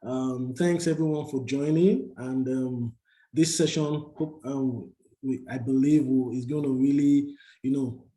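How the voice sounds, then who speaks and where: A man's voice: medium pitch, fairly even intonation, unhurried, mellow, reverberant, low-quality recording. A man hosting an online session, speaking hesitantly with many fillers. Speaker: webinar host. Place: Calgary.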